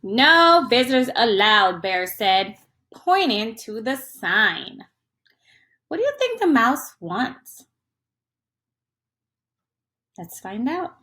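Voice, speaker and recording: A woman reads a children's story in a highish voice with big swings of intonation. She takes it very slowly and in a teacherly way, checking in with her young listeners. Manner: expressive, teacherly, asks the listener questions. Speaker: storyteller reading a picture book to young children. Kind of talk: interactive children's read-aloud.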